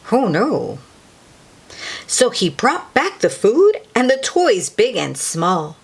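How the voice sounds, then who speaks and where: Woman, children's story host. Very lively intonation, unhurried pace, exclaims between lines of the book. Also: on the high side, slightly rough. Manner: animated, exclaiming, drawn-out words for effect. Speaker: children's read-aloud host. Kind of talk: expressive picture-book read-aloud with asides to young listeners.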